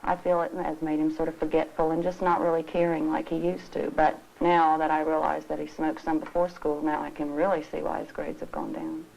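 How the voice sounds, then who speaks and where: A woman's voice: low voice, flat delivery, a little fast, vocal fry, few pauses, a little mellow, slight background noise, low-quality recording. A middle-aged woman speaking as an interviewed parent, earnest and somewhat hesitant, unscripted.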